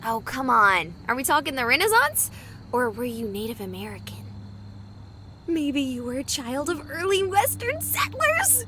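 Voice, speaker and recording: Woman acting a high, lively, sarcastic young woman. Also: moderate pace, noisy background. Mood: curious. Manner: sarcastic, casual, inquisitive. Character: excitable, nosy young woman streamer. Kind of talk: visual novel voice acting.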